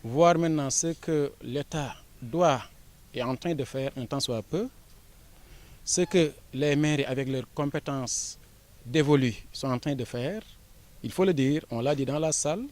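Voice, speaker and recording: Measured Senegalese man, official tone. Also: medium pitch, darkish tone. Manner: measured, formal, with frequent pauses and fillers. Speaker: education official. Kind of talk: formal interview statement.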